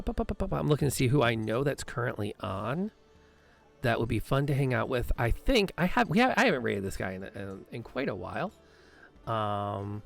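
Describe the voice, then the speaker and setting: A man hosts a podcast that doubles as a live stream, talking casually and rambling upbeat between fillers. His voice has a gravelly edge, at a middle pitch. Soft music plays faintly behind him.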